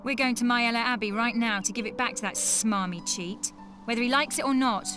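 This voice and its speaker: A woman playing a feisty, sharp-tongued young mage in a video game, confident, sassy and direct. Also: fairly high pitch, brisk, over music, natural voice. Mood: irritated, scornful. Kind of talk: animated video game voice acting.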